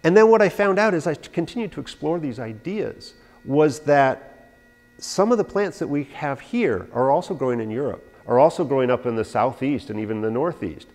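Brief: forties male herbalist, chatty self-deprecating lecturer, mid-pitched, echoing hall from afar. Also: moderate pace. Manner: animated, anecdotal, self-deprecating, gesturing while talking. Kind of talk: informal talk to a live audience. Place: large hall with a stage, indoors. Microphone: no visible mic, far camera. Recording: hall reverb from far off.

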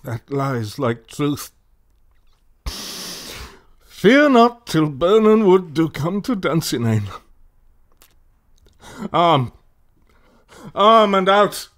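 An actor playing an embattled, bitter warrior tyrant in a classical radio drama, declaiming theatrical verse. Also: male voice, sits high, very animated, extremely slow, long pauses, fairly bright tone, exaggerated voice. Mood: urgent, defiant.

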